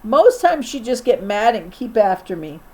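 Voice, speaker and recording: A woman reads a children's story aloud as a teacher would for her class. Her voice sits a little high, and she narrates expressively. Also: very animated, normal speed, warm tone. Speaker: teacher reading to fourth grade class.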